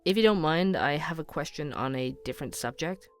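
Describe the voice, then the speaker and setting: A man's voice: fairly high pitch, animated, a little fast. A man playing a curious, earnest investigative podcaster in an audio drama, with a polite, questioning way of talking and music behind him. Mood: polite, careful. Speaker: podcast host investigating a case. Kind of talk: audio fiction dialogue.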